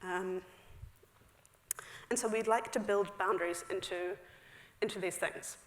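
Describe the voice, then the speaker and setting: A woman's voice: mid-range voice, fairly even intonation, a little hiss or hum. A woman in her thirties giving a casual, filler-heavy technical talk on a theater stage. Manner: chatty, frequent fillers, quick asides with laughs. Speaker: conference speaker. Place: large dark theater stage. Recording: echoey hall.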